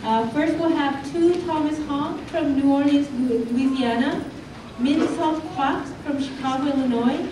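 A woman emceeing an event, introducing speakers and calling out their names clearly. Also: sits high, a little slow, distant mic, noisy background. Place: large meeting hall, indoors. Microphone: handheld mic at podium, far camera. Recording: echoey hall, recorded from afar.

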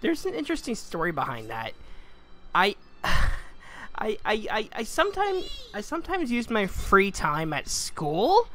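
A woman's voice: mid-range voice, wildly swooping pitch, measured pace, a bit bright. A woman giving casual, self-deprecating commentary as a fandub creator, swearing freely, recorded at home.

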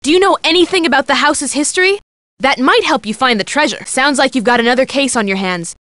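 A woman voicing a practical, direct young female sidekick in a video game, brisk and matter-of-fact. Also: sits high, fairly bright tone, dry recording, clean studio sound. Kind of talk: video game character dialogue.

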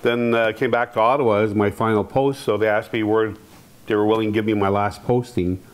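A man in his fifties from Quebec, whose first language is Algonquin, tells his own story to a seated audience. His voice sits fairly low, and he speaks in an unhurried way, pausing often with "uh". He is picked up by a clip-on lapel mic in a hall. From Quebec, Canada. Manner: relaxed, unhurried, many "uh" pauses. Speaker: panel speaker. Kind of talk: personal storytelling at a panel. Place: hall with curtained stage, audience present.

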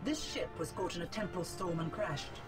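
A woman's voice: on the low side, expressive, a little fast, natural voice. A woman playing a cold, imperious cyber slave villain, formal and menacing, in a video game. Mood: cold, commanding. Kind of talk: video game cutscene acting. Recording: game audio under loud music.

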